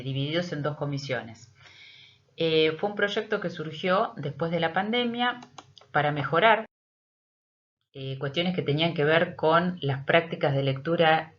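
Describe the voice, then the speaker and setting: A middle-aged Argentine woman, a teacher, explaining a project in a prepared but hesitant, measured presentation with frequent pauses. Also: deep voice. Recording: dull, lo-fi audio.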